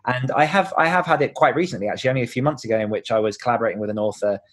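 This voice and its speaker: A man with a British accent speaks at an average pitch. He explains things informally, as a guest does in a relaxed podcast conversation. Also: racing. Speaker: author and podcaster as interview guest.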